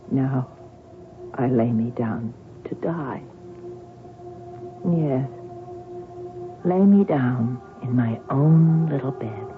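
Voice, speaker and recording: A haunted, wistful woman in a radio drama, over a thin, band-limited recording with prominent music. She speaks slowly and dreamily, halting and dwelling on her words. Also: low-pitched, narrow, tinny audio, heavily acted.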